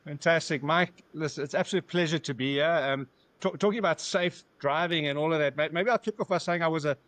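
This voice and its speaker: A man with a South African accent, a company founder chatting as a podcast guest in a warm, joking way. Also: a little high, quick pace, no room sound. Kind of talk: casual interview chat.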